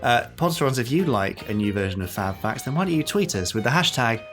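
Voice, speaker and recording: A man's voice: mid-range voice, animated, quick pace, a bit bright. Man, British accent, casual podcast chat, co-host, music in the recording.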